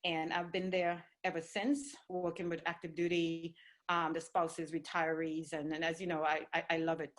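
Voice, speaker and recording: Interview guest: woman from Trinidad, middle-aged, easygoing, somewhat low pitch. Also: flat delivery, normal speed. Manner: easygoing, with light laughter and fillers. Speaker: guest, fitness trainer. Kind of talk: conversational interview.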